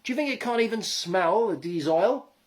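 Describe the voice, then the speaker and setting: A man's voice: high voice, animated, a little fast, voice pushed up. A man voicing a nervous gnome who stammers and speaks urgently. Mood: anxious. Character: anxious young male gnome, nervous.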